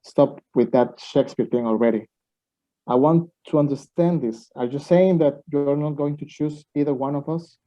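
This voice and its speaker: A man's voice: fairly high pitch, moderate pace, natural voice. A man playing a blunt, competitive, lovestruck young student in a radio play, challenging those around him. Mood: jealous, insistent. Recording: dull muffled lo-fi recording.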